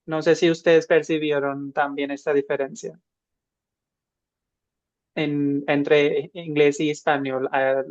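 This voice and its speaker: A man's voice: fairly high pitch, flat delivery, takes it slowly, long pauses, dry recording, lo-fi sound. A man from India, speaking Spanish as an as a podcast co-host, thoughtful and full of fillers and restarts. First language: an Indian language. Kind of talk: casual podcast chat.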